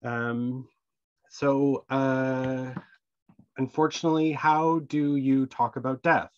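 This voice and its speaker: A man's voice: mid-range voice, fairly even intonation, slow. A man hosting an online town hall with prepared remarks, speaking over a video call. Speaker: meeting host. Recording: dull, dry online call audio.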